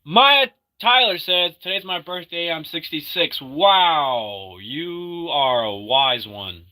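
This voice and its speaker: Man in his thirties, feeling sick, loose rambling stream chat with a very bright, sharp tone. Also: a little high, lively intonation. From Argentina. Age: 30s. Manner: rambling, halting, full of fillers and restarts; upbeat when greeting viewers. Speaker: livestreamer reading chat. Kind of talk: casual live-stream chat.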